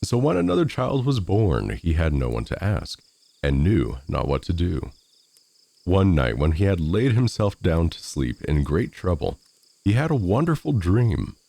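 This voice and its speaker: A man reading a storybook fairy tale in a measured, expressive read-aloud manner. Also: low-pitched, gravelly. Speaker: storyteller, narrator of fairy tales. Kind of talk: scripted storybook narration.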